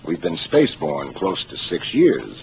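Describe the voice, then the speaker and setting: Seasoned starship captain, 1950s radio play, low and measured, hissy old broadcast. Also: male voice, wildly swooping pitch, vocal fry, muffled recording, full character voice. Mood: explaining. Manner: measured, authoritative, explaining patiently. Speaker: ship captain. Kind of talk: 1950s radio drama acting.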